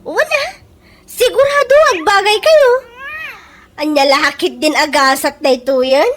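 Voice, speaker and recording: A woman's voice: sits high, animated, a little slow, a bit bright. A woman playing a nervous, gossipy young office employee in an Ilocano radio comedy, with an Ilocano accent. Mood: polite.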